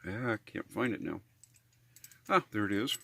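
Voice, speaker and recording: A man's voice: lowish voice, lively intonation, unhurried, vocal fry, pushed and bright, a little hiss or hum. An American man talking casually in a how-to vlog, in a rambling, hesitant, folksy way. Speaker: RV living vlogger.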